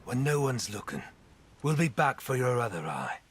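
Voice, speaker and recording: An actor playing a bullying, dim-witted sidekick henchman in a video game, with a husky voice. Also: medium pitch, big pitch swings, normal speed, fairly bright tone. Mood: taunting. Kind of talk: dramatic game acting.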